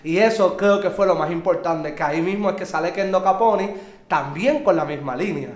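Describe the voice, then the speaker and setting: A man's voice: sits high, moderate pace. A man with a Puerto Rican accent, chatting animatedly about music on a casual podcast and swearing freely. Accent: Puerto Rican Spanish. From Puerto Rico. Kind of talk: casual chat reviewing music. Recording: echoey room.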